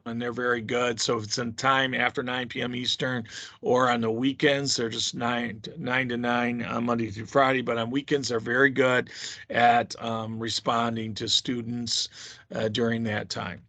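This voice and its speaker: A man's voice: medium pitch, sharp tone, no echo. A man speaking as a university instructor on a conference call, explaining things to students informally in an encouraging, reassuring way. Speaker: university instructor leading a course orientation call.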